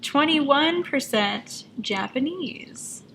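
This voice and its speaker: A woman with a fairly high, very creaky voice explains things conversationally as a co-host. She keeps it informal, and there is some noise in the recording. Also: expressive, very slow, fairly bright tone. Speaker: show co-host. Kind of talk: casual chat, explaining results. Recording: noticeable background noise.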